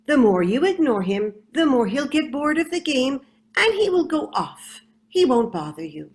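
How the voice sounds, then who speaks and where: A woman's voice: sits high, big pitch swings, moderate pace, darkish tone, voice pushed up. A woman voicing a wise mother bug. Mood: soothing. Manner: soothing, counseling.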